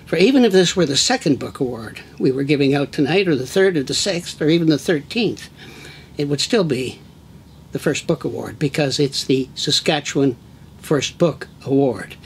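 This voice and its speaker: A man's voice: fairly high pitch, breathy edge. A man hosting an awards ceremony, reading scripted introductions with dry, playful humor. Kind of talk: scripted wry emcee introductions.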